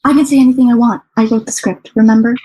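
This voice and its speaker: A woman acts out a brash, bossy secretary in a radio comedy. She talks fast in a high voice with insistent, stubborn delivery, over some noise in the recording. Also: fairly even intonation, mellow, natural voice, poor audio. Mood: indignant. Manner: insistent, talking over others. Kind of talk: comic radio play acting.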